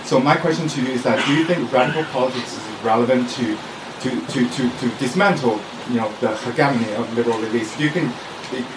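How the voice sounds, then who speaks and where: A man's voice: medium pitch, quick pace. Distant man from China asking from audience. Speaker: audience questioner. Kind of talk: long question from the audience at a live event. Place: indoor live event venue. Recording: distant, echoey venue sound with clear noise.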